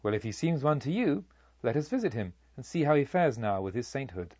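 Storybook holy monk, man reading the part: calm, measured, gently admonishing, a touch husky, dull recording. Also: mid-range voice, no echo. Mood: serene knowing. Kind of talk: dramatised audiobook dialogue.

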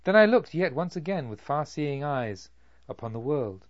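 Male audiobook narrator, solemn and measured, with animated intonation; slightly dull, dry recording. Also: on the high side, no room sound. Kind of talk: scripted literary narration of a Buddhist novel.

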